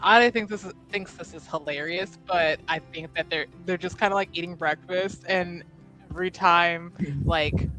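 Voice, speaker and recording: A woman chatting casually as a player in a tabletop roleplaying game, giggly and animated. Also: lowish voice, normal speed, soft background music, low-quality recording. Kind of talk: casual improvised roleplay chat.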